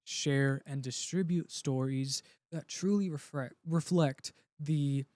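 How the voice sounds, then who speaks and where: Man from puerto rico, native spanish speaker, young adult, earnest, heartfelt, slightly high voice, dry close sound. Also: slow, darkish tone, no echo. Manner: earnest, heartfelt, with frequent restarts. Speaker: actor, interview guest. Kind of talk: casual interview conversation.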